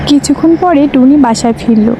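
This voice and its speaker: A woman's voice: high-pitched, brisk, background noise. A woman narrator reading a scripted children's story.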